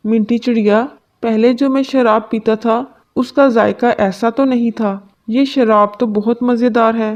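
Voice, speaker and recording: A woman's voice: on the high side, steady tone, measured pace, few pauses, darkish tone, dull audio, natural voice. A woman voicing a blunt, demanding, drunken male pigeon husband in a cartoon story. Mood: pleased. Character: drunken, abusive male pigeon husband, whiny when sick. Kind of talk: Hindi cartoon story dubbing.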